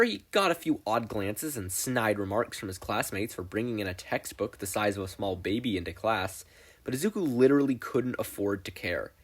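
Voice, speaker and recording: A man narrates fan fiction with a playful, wry touch. His voice is slightly rough and husky, and his delivery is animated, moving freely around a medium pitch. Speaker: podfic reader.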